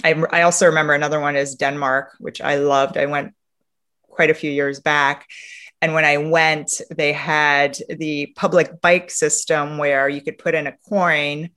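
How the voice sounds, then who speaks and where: A woman from Romania answering as an interview guest, telling anecdotes in a reflective, halting way and often rephrasing mid-sentence. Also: deep voice, flat delivery, a bit bright. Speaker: interview guest, sustainability leader.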